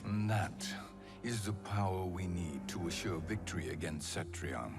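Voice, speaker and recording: An actor playing a cunning, smug sorcerer in a video game, with a deep, smooth voice and a measured, calculating delivery. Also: male voice, expressive, music in the background. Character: cunning smug male sorcerer, silky schemer. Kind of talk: video game cutscene acting.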